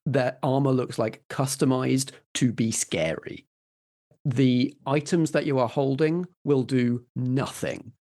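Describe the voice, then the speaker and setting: A man's voice: mid-range voice, fairly even intonation, takes it slowly, mellow. A man narrating scenes and giving rulings as the game master of a tabletop role-playing game.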